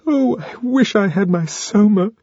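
Man putting on a high, sobbing young woman. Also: expressive, unhurried, mellow, dry recording, highs cut off. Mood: distraught. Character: distraught young woman, soft and pleading.

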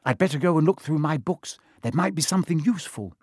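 A man voicing an earnest, clever, bossy young schoolgirl in a storybook reading, with a brisk and fretful manner. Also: sits high, big pitch swings, dry recording, clean studio sound, pitched above the performer's own voice, exaggerated voice. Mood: brisk, determined.

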